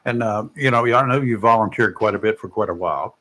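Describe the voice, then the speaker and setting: A man's voice: on the low side, fast, bright, forceful tone. Guy hosting local talk radio, chatty, pokes fun, asks pointed stuff. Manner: conversational, joking, pointed questions. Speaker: radio talk show host. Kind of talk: radio talk show interview. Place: radio studio.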